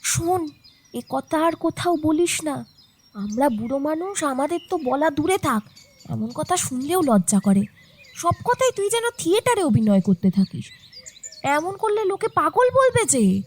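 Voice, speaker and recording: An actress playing a teasing older woman who ribs a young bride and scolds her gently. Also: high voice, sing-song, measured pace.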